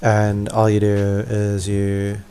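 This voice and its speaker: A man's voice: fairly low pitch, completely flat delivery, slow pace, warm tone, smooth. A young man giving casual, offhand, self-deprecating gameplay commentary. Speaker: gaming video creator.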